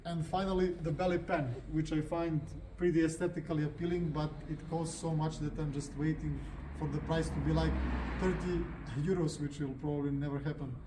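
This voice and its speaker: A man's voice: on the high side, steady tone, brisk, hardly any pauses, noisy background. A man with a Serbian accent hosting a motorcycle review in casual, unscripted vlog talk.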